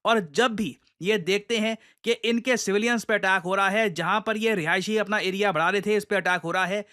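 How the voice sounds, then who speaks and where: A man's voice: sits high, fairly even intonation, a little fast, pushed and bright, clean tone. A man with an Indian accent giving emphatic explanatory news commentary, addressing listeners directly and mixing in English phrases.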